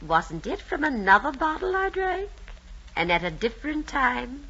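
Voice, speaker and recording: A woman's voice: high-pitched, sing-song, normal speed, sharp tone, exaggerated voice. An actress in an old-time radio drama playing a timid, fluttery, genteel lady friend who speaks hesitantly. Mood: hesitant, anxious. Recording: dull, noisy vintage radio recording.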